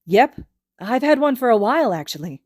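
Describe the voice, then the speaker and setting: A woman's voice: fairly high pitch, very animated, moderate pace, voice pushed up. A woman voicing a cheerful, earnest, eager young male adventurer in an anime story, bold and guileless. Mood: proud, eager. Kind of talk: anime fan fiction character reading.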